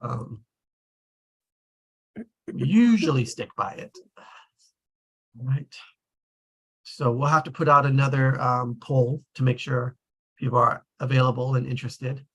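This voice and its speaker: A man hosting a table read group, talking casually in an animated, rambling and informal way. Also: medium pitch, measured pace, pauses often, husky.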